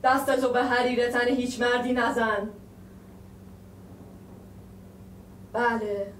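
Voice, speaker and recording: An actress playing a lonely young woman waiting for her brother, speaking in a theatrical monologue of short, halting phrases. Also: a little high, fairly even intonation, a little hiss or hum, lightly acted. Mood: numbly obedient. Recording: roomy sound from a distant mic.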